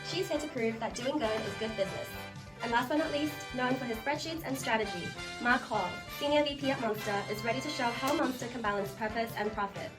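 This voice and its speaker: A woman's voice: fairly high pitch, fairly quick. A woman hosting a scripted game show with playful, teasing banter. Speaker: game show host of a student role play. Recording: echoey, distant mic, music behind.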